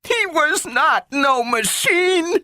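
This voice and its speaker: A man reading aloud and voicing an eager little girl who turns tearful, in a shrill pleading voice. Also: sing-song, a little slow, fairly bright tone, no room sound, clean studio sound, heavily acted.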